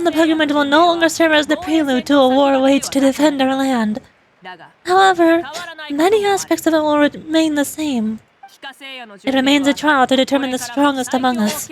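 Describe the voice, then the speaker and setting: A woman's voice: high-pitched, moderate pace, natural voice, rough recording. A woman voicing a bold, confident female warrior leader from a video game, speaking in an assured, rallying and playfully teasing manner. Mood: rallying, proud. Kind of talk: dramatic voice-over of video game dialogue. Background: music.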